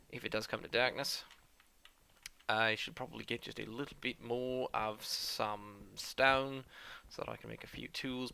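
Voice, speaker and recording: A man's voice: medium pitch, gravelly, pushed and bright, slight background noise. A man putting on a playful mock Australian accent while giving rambling let's-play commentary. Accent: put-on Australian, based on a New Zealand acquaintance. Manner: playful, self-mocking, rambling.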